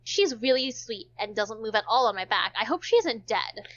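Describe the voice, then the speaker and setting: A woman's voice: fairly high pitch, animated, normal speed, fairly bright tone, a little hiss or hum. A woman streamer reading a visual novel aloud, narrating dramatically and chatting casually. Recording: dull, muffled lo-fi audio.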